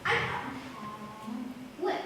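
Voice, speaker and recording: A woman's voice: extremely slow, music in the background. A young female student actor playing a sweet, candid girl who blames herself, in a school stage play recorded in an echoey hall. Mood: friendly. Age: teens or young adult. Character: sweet, candid young woman, self-blaming beneath cheerfulness. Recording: echoey noisy hall from far off.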